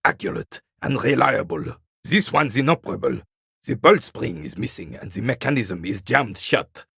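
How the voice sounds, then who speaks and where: An actor playing a proud, bitter old royalist soldier in a video game, stern and disdainful, with a gravelly, raspy voice. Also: male voice, medium pitch, animated, moderate pace, bright, forceful tone, no echo, clean studio sound, full character voice. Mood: knowledgeable, measured. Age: older. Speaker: retired carabinier working as a guard. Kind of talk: video game character dialogue.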